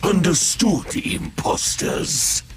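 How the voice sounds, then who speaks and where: An actor playing a sadistic monster machine in a video game, drawing out words in a gloating, theatrical way with gravel and breathy hisses. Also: male voice, high-pitched, big pitch swings, full character voice. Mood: cold, theatrical. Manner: slow, drawn-out, gloating, theatrical delivery. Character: sadistic male monster machine, menacing, theatrical, relishes pain. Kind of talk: dramatic video game voice acting. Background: music.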